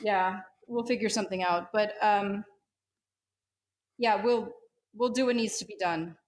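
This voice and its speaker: A woman's voice: mid-range voice, a little slow, close to an everyday voice. A woman playing a defiant, snarky rebel slicer in a tabletop game, with a blunt manner. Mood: serious, resolved. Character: defiant, hard-drinking female rebel slicer, gruff and loyal.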